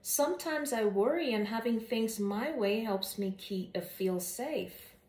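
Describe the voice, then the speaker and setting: Lady doing a worried little storybook girl, bossy but sorry, soft hesitant high voice, roomy echo. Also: lively intonation, measured pace. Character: anxious young girl, bossy but apologetic, eager to please.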